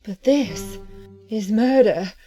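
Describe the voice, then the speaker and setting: An actress playing a nervous, reluctant heiress drawn into a plot in an audio drama, fretful and hesitant. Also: female voice, medium pitch, expressive, slow pace, warm tone, faint music behind. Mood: scared, reluctant. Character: nervous, reluctant, spoiled heiress turned conspirator.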